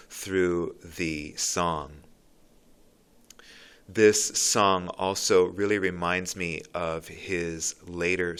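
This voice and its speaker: Brief: man with a low voice, slow and thoughtful, explaining a poem in earnest. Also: fairly bright tone, clean tone. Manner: thoughtful, earnest, with pauses and restarts. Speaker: literature commentator. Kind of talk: reflective spoken commentary on a poem.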